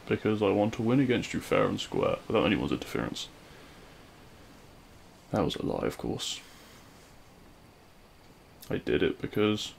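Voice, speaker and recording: Man playing a low, gravelly, regretful villain. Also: a little hiss or hum, full character voice. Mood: confessional. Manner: hushed, halting, wistful, with pauses and sighs. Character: regretful lovelorn male supervillain addressing his fallen hero. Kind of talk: scripted ASMR audio roleplay monologue.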